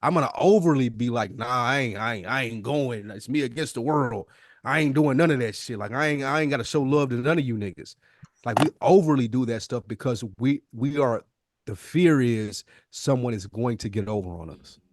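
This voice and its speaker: A man's voice: mid-range voice, brisk, dry recording, clean studio sound. A man co-hosting a podcast in casual discussion, emphatic and repeating phrases for stress. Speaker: podcast co-host.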